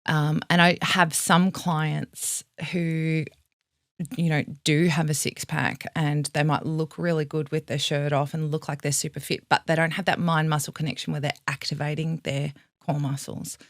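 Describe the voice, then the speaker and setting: A woman's voice: deep voice, level tone, normal speed, dry recording, clean studio sound. A woman vocal coach with an Australian accent, explaining vocal health in a frank, teacherly way and swearing casually.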